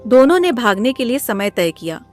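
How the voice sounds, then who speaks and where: A woman's voice: fairly high pitch, sing-song, normal speed, darkish tone, faint music behind. A woman narrating a scripted moral story as a storybook narrator.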